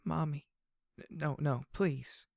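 A man voicing a young female pony, soft-spoken, weary and troubled, in a narrated story. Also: medium pitch, lively intonation, normal speed, no echo, studio quality, pitched above the performer's own voice, close to an everyday voice. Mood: pleading, whimpering. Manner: soft, quiet, muttering.

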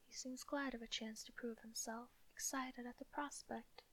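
A woman's voice: on the high side, steady tone, moderate pace, a bit bright. A woman whispering as a ruthless scornful zealot.

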